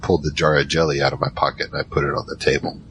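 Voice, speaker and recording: Man as very deep, wry drama narrator. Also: flat delivery, racing, fairly bright tone, slight background noise. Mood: reflective. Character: Michael, weary wry male narrator searching for his family. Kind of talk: first-person audio drama narration and dialogue.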